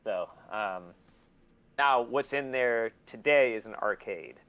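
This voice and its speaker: A man chatting casually as an interview guest, blunt, self-deprecating and quick to swear. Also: mid-range voice, fairly bright tone, clear, resonant tone. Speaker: interview guest, museum and record shop owner. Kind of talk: casual chat.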